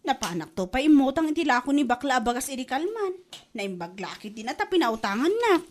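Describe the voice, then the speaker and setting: A woman's voice: high-pitched, sing-song, brisk. A woman acting a brazen village wife who borrows on credit, nagging and pleading in a brash way in a comedy drama. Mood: casual, sheepish.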